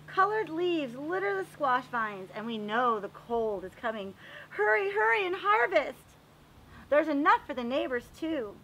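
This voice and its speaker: A woman reading a picture book aloud to young children, animated and expressive, pausing to explain and ask questions. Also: sits high, slight background noise, poor audio. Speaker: read-aloud storyteller for children.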